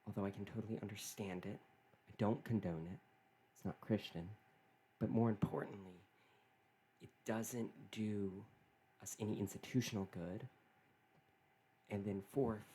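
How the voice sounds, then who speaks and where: A man who is not British, talking alone in an earnest, halting way, often restarting and repeating his phrases. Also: on the low side, warm tone, slightly rough. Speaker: political commentator. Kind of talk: solo opinion monologue.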